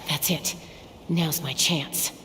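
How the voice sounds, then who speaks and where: A cool young woman fighter in a video game, with a low voice. She is measured, cautious and sure of herself, over faint background noise. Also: steady tone, bright, forceful tone, exaggerated voice. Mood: focused. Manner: measured, confident.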